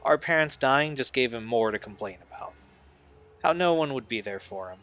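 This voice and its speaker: An actor playing a troubled young man in an audio drama, speaking in an earnest, halting, confessional way. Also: medium pitch, lively intonation, fairly bright tone, faint music behind, natural voice. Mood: bitter, anguished. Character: Steve, troubled young man recalling a painful family past.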